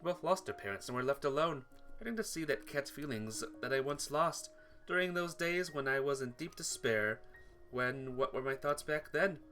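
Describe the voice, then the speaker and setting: A man's voice: medium pitch, moderate pace, pushed and bright, soft background music. A man narrating a visual novel playthrough, reading the story text aloud.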